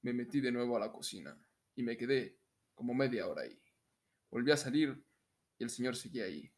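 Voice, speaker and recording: A man's voice: mid-range voice, expressive, fairly quick. Jokey young Mexican man, laughs easily. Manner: jokey, prone to breaking into laughter. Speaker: podcast co-host. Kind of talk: casual comedic chat and story reading.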